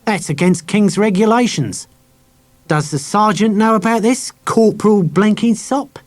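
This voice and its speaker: Casting: male reader as haughty, sneering corporal for a children's audiobook; thin, higher-pitched voice that carries, cold and officious. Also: measured pace. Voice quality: thin, weak but carrying.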